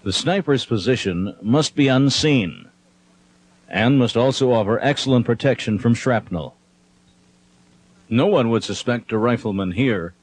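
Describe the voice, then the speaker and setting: Guy doing documentary training film narration, average pitch, measured teaching style. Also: animated, breathy edge, poor audio. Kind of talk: scripted instructional film narration.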